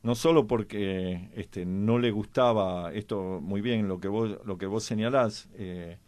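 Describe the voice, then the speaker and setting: An Argentine man speaks in a fairly deep voice with a very dry sound. He discusses history conversationally, pausing often and feeling for the next phrase. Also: darkish tone, no room sound. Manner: halting, pauses to search for words. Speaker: panelist on a history and politics programme.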